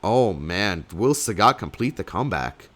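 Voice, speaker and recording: A young man, slightly sick and losing his voice, casting a card game tournament alone in rambling play-by-play full of fillers, with sudden bursts of excitement. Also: lowish voice, normal speed. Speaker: tournament caster. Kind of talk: solo play-by-play commentary.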